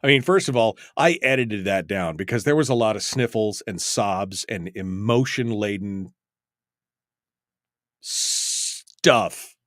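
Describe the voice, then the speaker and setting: A man's voice: on the low side, takes it slowly, a bit bright, dry recording. A man hosting a freeform talk radio call-in show, rambling in an emphatic, self-mocking way on a close studio microphone.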